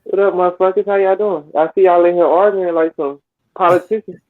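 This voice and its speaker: A man's voice: sits high, steady tone, a little fast, no echo. A man co-hosting a casual chat in an informal, slangy way, heard over what sounds like a remote call. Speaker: co-host. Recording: tinny narrow phone-like line, very dry.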